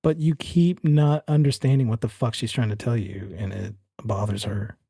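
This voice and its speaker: A man's voice: medium pitch, steady tone, normal speed, creaky, dark tone, breathy edge, dry recording, clean studio sound. A man hosting and narrating a horror tabletop game as game master, with a suspenseful storytelling delivery. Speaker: game master and show host.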